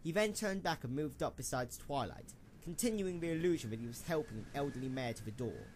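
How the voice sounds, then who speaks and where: A man's voice: fairly high pitch, animated, brisk, slight background noise. A man narrating a scripted dramatic story as the narrator of an audio drama.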